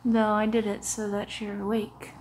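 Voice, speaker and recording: A young woman playing a sinister, coaxing vampire who poses as a doctor, in a hushed, often whispered, muffled ASMR roleplay. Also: mid-range voice, completely flat delivery, slight background noise, close to an everyday voice. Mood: eerily delighted. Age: young adult. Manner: slow, hushed, often whispering, soothing, deliberate. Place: living room, lying on a sofa.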